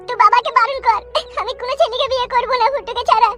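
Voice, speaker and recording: A performer voicing a stubborn, lovestruck teenage village girl in a comedy cartoon, with a pitch-shifted voice. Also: fairly even intonation, rapid-fire, bright, forceful tone, faint music behind. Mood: defiant, lovestruck. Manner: insistent, defiant back-talk. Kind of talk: Bengali comedy cartoon dub.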